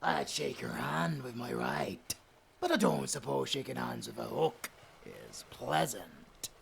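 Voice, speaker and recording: A performer in an audio drama voicing a swaggering, theatrical pirate captain, gravelly and taunting, dropping into breathy growls. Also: mid-range voice, very animated, takes it slowly, slight background noise, heavily acted. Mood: mock-polite, teasing. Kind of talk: cinematic audio roleplay acting.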